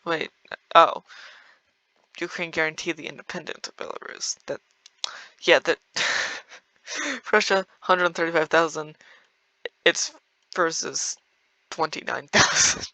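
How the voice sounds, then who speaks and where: A man's voice: on the high side, slow, pauses often, bright, forceful tone, no room sound, poor audio. A young man giving rambling, casual commentary over a strategy game, full of fillers and small laughs. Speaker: gameplay video creator.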